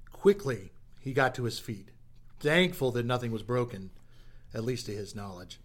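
A man delivers dramatic scripted narration for an adventure radio play. He has a medium-pitched voice and a theatrical storytelling manner.

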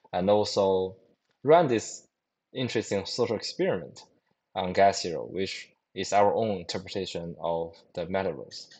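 A man answering interview questions as a company founder, halting, with frequent fillers and restarts. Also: deep voice, darkish tone, poor audio. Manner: hesitant, many fillers and restarts, non-native phrasing.

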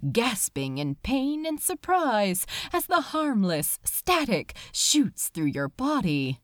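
A woman narrates a story with theatrical expression. Her pace is slow and deliberate, and her pitch moves freely from phrase to phrase. The recording is close and very dry. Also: dry recording. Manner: expressive, measured. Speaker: amateur audiobook reader. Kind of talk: dramatic scripted story narration.

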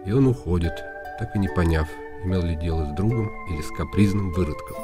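A man's voice: deep voice, quick pace, music in the background, lightly acted. An actor playing a brooding, scornful nobleman in a radio play, bitterly ironic and weary. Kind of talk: radio play inner monologue.

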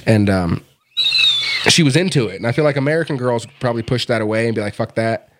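A man's voice: mid-range voice, quick pace, very noisy. A young man and podcast host telling a crude, self-mocking story in casual chat, laughing often.

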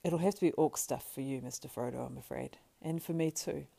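A woman narrator plays a faithful hobbit servant from a fantasy storybook, earnest and practical. He speaks in humble, rustic turns of phrase, with a mellow, creaky grain to the voice. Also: extremely low pitch, a little fast, full character voice. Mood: reassuring, practical. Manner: deferential, homely rustic phrasing.

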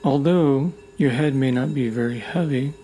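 A man leading a guided meditation in a soothing, deliberate way, leaving long pauses between short phrases. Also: medium pitch. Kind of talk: guided meditation, gentle instruction. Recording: loud humming meditation tones throughout. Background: gentle music with sine tone and singing bowls.

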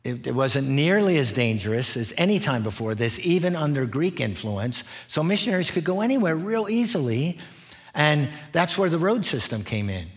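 A man's voice: on the high side, very animated, normal speed. A middle-aged man preaching in an explanatory way, laying out points and often checking in with listeners. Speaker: preacher, former missionary. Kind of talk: expository sermon, teaching in numbered points.

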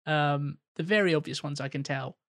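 A man's voice: on the high side, moderate pace, fairly bright tone, dry recording, clean studio sound. A man co-hosting a podcast, speaking hesitantly with many restarts in casual chat. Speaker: podcast co-host.

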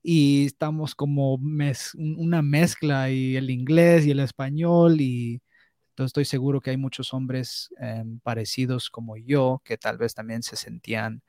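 A man's voice: mid-range voice, fairly even intonation, unhurried, dark tone, no echo. Candid man, dry sound. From United States. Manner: candid, reflective, hesitant with many restarts and mixed English words. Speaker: podcast co-host. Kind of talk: casual podcast conversation.

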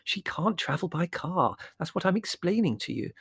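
A man's voice: sits high, sing-song, normal speed, fairly bright tone, breathy edge, dry recording, clean studio sound, pitched above the performer's own voice, heavily acted. A man voicing a fussy, irritable middle-aged mother in a storybook, explaining patiently and then snapping. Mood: irritable, fierce.

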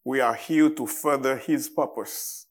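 A man from Haiti with a Haitian accent delivers a sermon to a congregation as a guest preacher. His voice sits at a middle pitch, and he takes his time, setting out short phrases deliberately with halting pauses.

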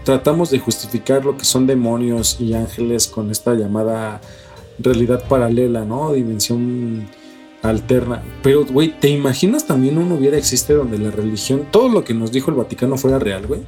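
A man's voice: medium pitch, normal speed, mellow. A man with a Mexican accent speaks as a podcast co-host in a casual, conversational style. His language is loose and profane, full of street slang. Music plays behind his voice. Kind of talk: casual news commentary on strange stories.

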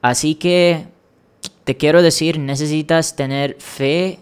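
Guy from india doing a solo learning podcast, talks real slow, smooth clear voice, encouraging. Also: a little high, steady tone. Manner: slow, measured, encouraging explanations with small restarts. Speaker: language-learning podcast host. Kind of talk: solo podcast monologue giving advice.

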